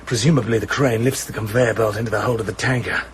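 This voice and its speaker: An actor playing a tough, grimly determined British spy in a radio drama, speaking tersely and urgently. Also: male voice, fairly low pitch, fairly even intonation, quick pace, noisy background, natural voice. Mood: tense, urgent.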